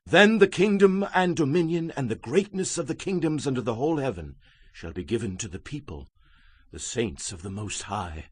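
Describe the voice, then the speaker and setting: An actor playing the prophet Daniel, solemnly and emphatically recounting his visions in a dramatized scripture reading, with a slightly husky voice. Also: mid-range voice, lively intonation, normal speed. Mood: solemn, exalted. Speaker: Bible narrator.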